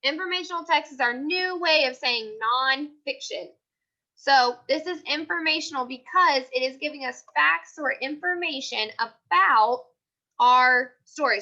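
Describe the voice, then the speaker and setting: High, bright-voiced American woman teaching children. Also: dull audio. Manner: simple explanations, repeating key points for children. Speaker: elementary school teacher. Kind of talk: teaching read-aloud of a picture book with questions and asides.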